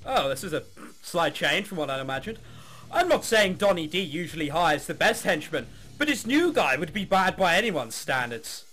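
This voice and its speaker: A man's voice: a little high, sing-song, moderate pace, sharp tone, faint music behind. A man hosting a let's play, reading game text aloud and commenting with sarcasm and swearing.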